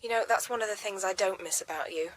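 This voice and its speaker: An actress playing a guarded, serious woman, hushed and reserved, in a naturalistic radio drama. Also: mid-range voice, fast talker, lightly acted.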